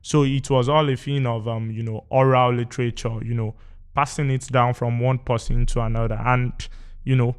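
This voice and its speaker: A Nigerian man who grew up speaking Yoruba explains his background in a studio interview. His voice is smooth and resonant, pitched in the middle range. He talks in a measured, reflective way, pausing and reaching for words as he goes. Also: level tone. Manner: measured, thoughtful, with frequent pauses and fillers. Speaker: poet guest. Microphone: studio microphone.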